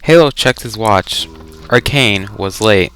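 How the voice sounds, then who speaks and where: A young man chatting casually over a game and reading fan fiction aloud, halting and stumbling over words now and then. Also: fairly low pitch, animated, a bit bright, clean tone, low-quality recording.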